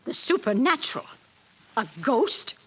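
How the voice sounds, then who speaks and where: A skeptical former schoolteacher with a husky, slightly breathy voice. She is clipped and reproachful, in the manner of 1940s radio drama. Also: sits high, sing-song, a little slow, a bit bright, heavily acted. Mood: stern. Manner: curt, reproachful.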